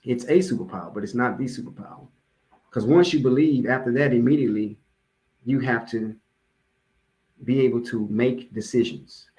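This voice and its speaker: Man, American accent, medium pitch, motivational sermon style, repeats key phrases, talks directly to listeners. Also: moderate pace, a little mellow, rough recording. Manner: emphatic repetition of key phrases; conversational, checks in with listeners. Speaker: religious speaker giving a public address.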